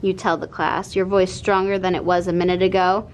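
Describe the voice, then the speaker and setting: A woman narrating a children's picture book in an expressive read-aloud style. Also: brisk, low-quality recording. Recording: noticeable background noise with low rumble.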